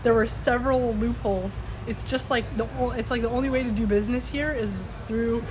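A woman's voice: fairly high pitch, fairly even intonation, fairly quick. A young woman chatting casually and expressively to a phone camera at arm's length, outdoors on a patio. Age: young adult. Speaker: vlogger. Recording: noisy outdoor phone recording.

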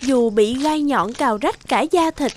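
High-voiced woman narrating a fairy tale. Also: measured pace, slight background noise.

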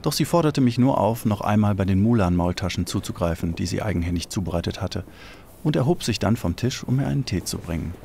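Eine tiefe, rauchig-knarrende Männerstimme trägt ruhig und gemessen eine übersetzte Erzählung vor. Im Hintergrund ist ein schwaches Rauschen zu hören. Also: male voice, on the low side, creaky, slight background noise. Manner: calm, measured reading. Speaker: narrator reading translation. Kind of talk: scripted literary reading.

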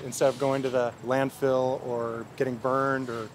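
A man talking in a relaxed, matter-of-fact way in a documentary interview. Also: medium pitch, moderate pace, background noise.

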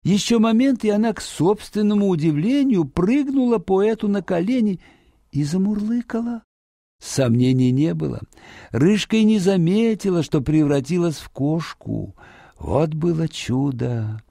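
A man narrating a fairy tale for children in an expressive, dramatic storytelling manner. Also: sits high, a little slow, creaky, dry recording, clean studio sound.

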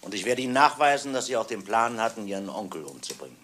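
A man playing a stern, methodical police inspector in a German radio crime drama, speaking emphatically and deliberately. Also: fairly low pitch, very animated, fairly bright tone, slight background noise. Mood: grim, resolute. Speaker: actor in a radio crime play.

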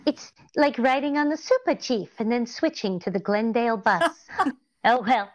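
A woman's voice: fairly high pitch, animated, moderate pace, lightly acted, poor audio. A middle-aged woman playing a chatty, dreamy housewife in a 1940s radio comedy. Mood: swooning, gossipy.

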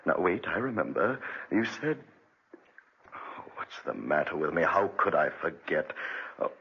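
A man's voice: low-pitched, big pitch swings, measured pace, breathy edge, full character voice. An actor playing a guilt-ridden, bitter Englishman with a brooding, dramatic delivery in a 1940s radio drama. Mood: distracted, straining. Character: haunted, guilt-ridden Englishman, bitter former debtor prisoner. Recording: dull restored 1940s radio recording.

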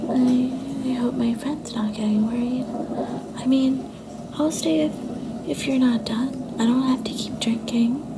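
A sweet young girlfriend voiced by a woman in an intimate ASMR date roleplay, speaking softly in a high voice that drifts into whispers. She is affectionate, coy and a little unsure of herself. Clear ambient noise sits behind her. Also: fairly even intonation, unhurried. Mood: caring, reluctant. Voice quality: soft, often slipping into breathy whispers. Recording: noticeable background noise and ambience.

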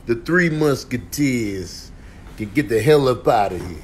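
A man's voice: mid-range voice, lively intonation, unhurried, slight background noise. A man giving slangy, repetitive comic commentary over short clips. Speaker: comedian doing voiceovers.

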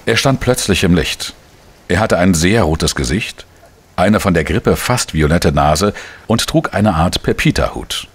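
An actor playing a wry, world-weary journalist who narrates a radio crime drama in a measured, dry manner. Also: male voice, low-pitched, animated, breathy edge, heavily acted. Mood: observant. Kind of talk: scripted first-person crime narration.

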